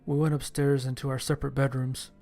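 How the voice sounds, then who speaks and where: A man reading scripted first-person horror fiction as its narrator, with a gravelly voice. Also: medium pitch, little pitch movement, fast talker, mellow.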